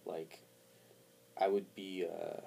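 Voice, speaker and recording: A man talking casually to camera as a vlogger, halting as he thinks aloud. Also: low voice, flat delivery, vocal fry, dark tone, husky. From born in Montreal, Canada, raised in Armenia from four to seventeen. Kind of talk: casual personal talk to camera.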